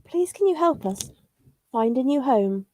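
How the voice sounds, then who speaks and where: A woman's voice: high voice, expressive, measured pace, dark tone. A woman voicing small, polite, homeless worms in a children's storybook, with sing-song pleading. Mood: politely pleading.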